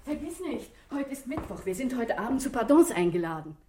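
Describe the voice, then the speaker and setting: An actress playing a caring, apologetic middle-aged wife in a German radio drama, explaining things gently. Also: female voice, a little high, very animated, a little fast, a little hiss or hum. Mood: reminding.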